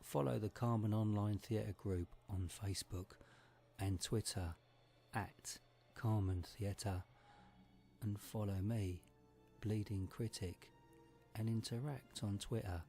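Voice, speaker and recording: Male horror narrator, lowish pitch, very slow delivery, scripted announcing, music underneath. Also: steady tone, gravelly, warm tone. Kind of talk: scripted credits narration for horror audio theatre.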